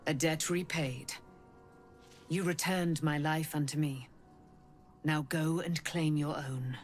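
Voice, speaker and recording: An actress playing a wise, commanding celestial messenger in a video game, speaking in grave, formal, deliberate phrases. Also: female voice, low-pitched, fairly even intonation, soft background music. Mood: solemn. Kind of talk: video game dramatic acting.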